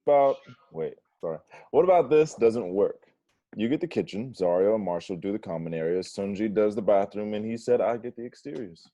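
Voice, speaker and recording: A man's voice: on the low side, expressive, fairly quick, dark tone, lo-fi sound. An actor playing a dramatic, outspoken young male housemate in a comedy table read. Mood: emphatic. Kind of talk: comedy script read aloud. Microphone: video call.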